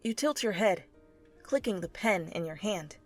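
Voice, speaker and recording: A woman reading fan fiction aloud as an expressive second-person narrator in an ASMR style. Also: medium pitch, moderate pace. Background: faint music.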